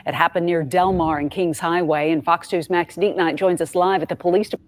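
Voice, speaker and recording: A woman's voice: fairly low pitch, fairly even intonation, a little fast, a little hiss or hum. A woman anchoring local television news with a scripted reading delivery.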